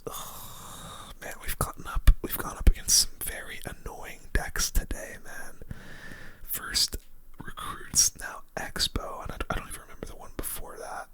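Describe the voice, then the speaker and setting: A person giving casual, slangy, mildly grumbling gaming commentary in a breathy ASMR whisper. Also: a little slow. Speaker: gaming video host.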